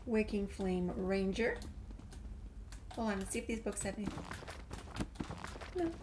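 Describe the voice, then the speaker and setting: A woman's voice: mid-range voice, measured pace, noisy background. A woman hosting a casual game playthrough, musing aloud as she goes. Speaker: gaming host.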